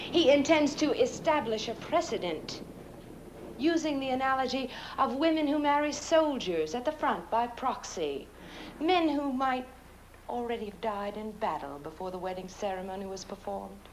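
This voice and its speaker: An actress playing a sweetly scheming young bride, demure and measured, in a film drama. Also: female voice, on the high side, expressive, slight background noise, lightly acted, rough recording. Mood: sweetly defiant.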